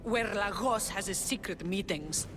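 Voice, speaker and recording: A woman's voice: medium pitch, a little slow, close to an everyday voice. A woman voicing a frightened, grateful mother in a video game. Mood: fearful, grateful. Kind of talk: dramatic video game dialogue. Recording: long cave reverb, soundtrack music behind. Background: music.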